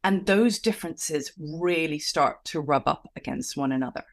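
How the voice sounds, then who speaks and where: A woman's voice: low voice, normal speed. A woman coach and author, born in Venezuela, giving reflective interview answers with frequent restarts. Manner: reflective, with many restarts and fillers.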